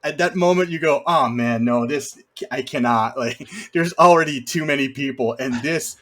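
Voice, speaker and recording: A man with a mid-pitched voice co-hosts a casual podcast about films. He speaks bluntly, grumbling with animation and dropping the occasional mild swear. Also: normal speed, a bit bright. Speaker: podcast co-host. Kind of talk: casual film discussion.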